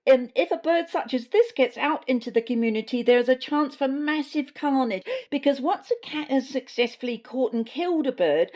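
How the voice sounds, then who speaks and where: A woman's voice: sits high, darkish tone, pitched above the performer's own voice, close to an everyday voice. A woman with a New Zealand accent voicing a bossy, officious female cat who speechifies earnestly and pompously in a comedy story.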